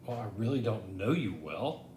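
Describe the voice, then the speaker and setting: Very low-voiced, stubborn, touchy customer played by a woman. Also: lively intonation, moderate pace, warm tone. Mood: stubborn, sullen. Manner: insistent, indignant. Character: stubborn, touchy, eccentric male bookstore customer. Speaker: actor in a student play. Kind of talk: comic stage play dialogue. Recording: roomy echo, low rumble, faint noise.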